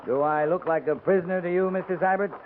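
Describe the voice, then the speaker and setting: Actor as firm cavalry captain, muffled old radio. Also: male voice, high voice, lightly acted. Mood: stern, firm. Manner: measured, dry, commanding. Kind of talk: 1950s radio western acting. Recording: muffled, noisy 1950s radio recording.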